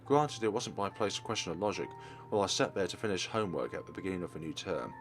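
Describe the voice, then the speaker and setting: A man's voice: deep voice, lively intonation, fast, over music. Man reading a visual novel aloud. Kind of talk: reading game narration aloud.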